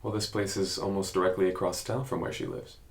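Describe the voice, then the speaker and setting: An actor playing a gentle, curious podcast investigator in an audio drama, asking considerate, softly probing questions in a naturalistic interview style. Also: male voice, deep voice, little pitch movement, brisk, vocal fry.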